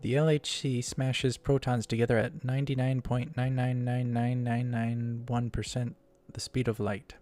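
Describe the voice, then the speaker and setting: A man's voice: medium pitch, little pitch movement, normal speed, few pauses, darkish tone, faint music behind, close to an everyday voice. A man voicing a flustered young woman scientist as he reads visual novel game dialogue aloud. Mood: explaining.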